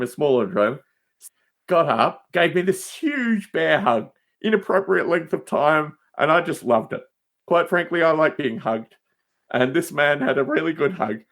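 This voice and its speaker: A man chatting casually as a podcast guest, telling digressive anecdotes with emphatic asides. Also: fairly high pitch.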